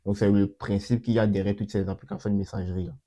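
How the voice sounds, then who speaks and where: A young man hosting his channel and talking through a coding demo in a casual way, with a cold that makes his voice a bit off. He repeats himself often and fills pauses with hesitations. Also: lowish voice, flat delivery, mellow. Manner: repeats himself, many fillers and restarts. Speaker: channel host. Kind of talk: casual screen-recorded coding walkthrough.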